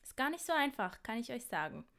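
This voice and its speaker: A woman's voice: on the high side, sing-song, fast talker, vocal fry, fairly bright tone. Young Swiss woman with a Basel accent. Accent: Basel Swiss German. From Switzerland. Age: young adult. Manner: hesitant, laughing, apologetic about her German. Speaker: podcast co-host. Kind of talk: casual chat. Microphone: remote call.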